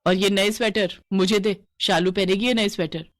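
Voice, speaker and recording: A woman's voice: mid-range voice, fairly even intonation, moderate pace, no room sound, studio quality, natural voice. A woman voicing a cruel village stepmother in a story, with a sneering, taunting manner. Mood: scornful.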